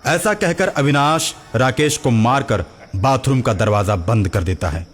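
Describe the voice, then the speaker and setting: A man's voice: mid-range voice, lively intonation, measured pace. A man narrating a scripted story as its narrator. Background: music.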